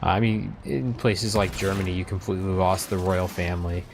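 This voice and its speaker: A man's voice: sits low, little pitch movement, fairly quick, vocal fry, noisy background. A man hosting a let's play, chatting casually as he comments on gameplay. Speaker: host of a video game let's play.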